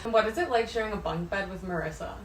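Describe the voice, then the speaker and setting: A woman's voice: mid-range voice, a little hiss or hum. A twenty-six-year-old American woman from Ohio hosting a casual vlog, chatty and full of "like". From Ohio, United States. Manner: chatty, quick, lots of like. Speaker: vlog host.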